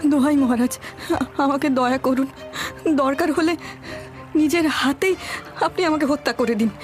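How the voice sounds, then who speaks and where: A woman playing a timid, high-voiced young maiden. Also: moderate pace, soft background music, natural voice. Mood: desperate pleading. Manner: respectful, hesitant. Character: pleading, timid young orphan maiden, respectful to the king. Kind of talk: dramatic cartoon dubbing.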